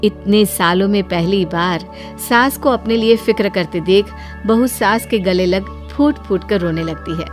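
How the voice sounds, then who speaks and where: A woman's voice: medium pitch, a little slow. A woman narrating a scripted story as a storyteller. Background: background music.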